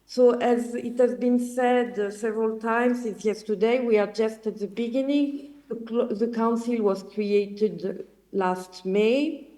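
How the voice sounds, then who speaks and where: A woman's voice: a little high, fairly even intonation, unhurried, warm tone, low-quality recording. French-accented woman on a video call. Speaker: remote panel speaker. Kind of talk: prepared talk over video call.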